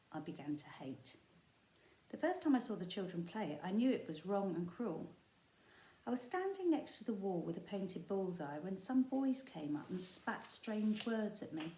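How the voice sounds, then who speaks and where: A woman primary school teacher reading a children's book aloud, expressive and storytelling, with the odd slip. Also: medium pitch. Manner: expressive storytelling with small slips and asides. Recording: echoey room.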